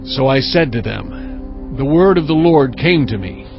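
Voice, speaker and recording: An actor playing a solemn, sorrowful prophet who deliberately recounts God's word in a dramatized audio Bible. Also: male voice, medium pitch, expressive, creaky. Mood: sorrowful, restrained. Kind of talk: dramatized audio Bible, first-person scripture narration. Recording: dull, muffled audio with music. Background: cinematic music.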